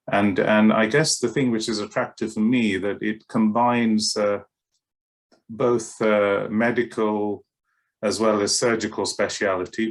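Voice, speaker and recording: A middle-aged man speaks in a fairly low voice. He answers interview questions hesitantly, pausing on fillers and restarting his sentences. He talks in a measured way as a paediatric doctor. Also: fairly bright tone. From Kenya and West London.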